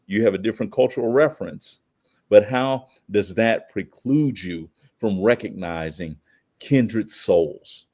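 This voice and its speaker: A man's voice: fairly low pitch, unhurried, a little mellow. An American man giving opinionated commentary in conversation as a podcast guest, emphatic and slangy, often posing rhetorical questions.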